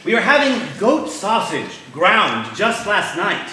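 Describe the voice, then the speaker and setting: A man's voice: high voice, big pitch swings, takes it slowly, pushed and bright, far from the mic, exaggerated voice. An actor playing a pompous, hot-tempered male celebrity chef in a staged musical reading, prone to theatrical outbursts. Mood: haughty. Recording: roomy live stage recording.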